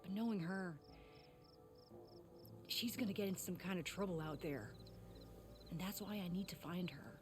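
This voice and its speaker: A woman's voice: on the low side, moderate pace, long pauses, lightly acted. An actress playing a worried, guilt-ridden female tinkerer in a video game, speaking in an earnest, wry, conversational way. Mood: troubled, exasperated. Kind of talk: video game character acting. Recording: faint music behind the voice.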